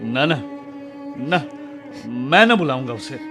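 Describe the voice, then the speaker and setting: A man's voice: mid-range voice, sing-song, takes it slowly. A man playing a proud, unyielding, angry elder brother in a radio drama, speaking sternly and emphatically. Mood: stubborn. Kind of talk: Hindi radio drama acting. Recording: music under the voice.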